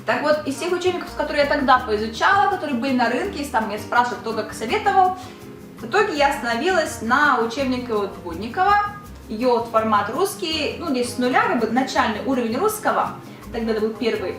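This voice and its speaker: Lively high-voiced young woman teacher. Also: quick pace, roomy sound, faint music behind. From Russia. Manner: chatty, lively, asks the viewer rhetorical questions. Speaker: Korean language teacher. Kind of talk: casual video lesson.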